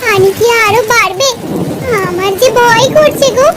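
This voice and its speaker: A woman's voice: extremely high pitch, normal speed, background noise. A woman voicing an anxious, pleading wife from a poor village in a cartoon story. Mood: anxious, fearful. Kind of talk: Bengali cartoon story dialogue.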